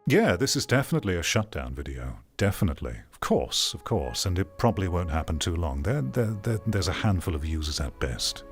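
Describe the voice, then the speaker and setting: A middle-aged man giving casual live commentary as a streamer, rambling in a sardonic, exasperated manner. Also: fairly low pitch. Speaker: streamer reacting to a video.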